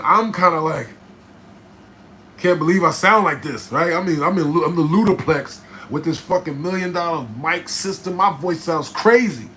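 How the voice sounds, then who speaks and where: A man from New Jersey, a rapper, telling a studio story in casual, profane style with a raspy voice, often asking "right?". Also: fairly high pitch. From New Jersey, USA. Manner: animated, profane, keeps checking in with "right?". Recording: noticeable background noise.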